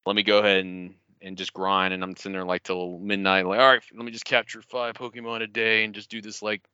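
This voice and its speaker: Bright, clear-voiced man, casually swearing. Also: fairly low pitch, flat delivery, fast, no room sound, dull audio. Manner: profane, rambling, self-mocking storytelling. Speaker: podcast co-host. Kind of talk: casual gaming podcast chat.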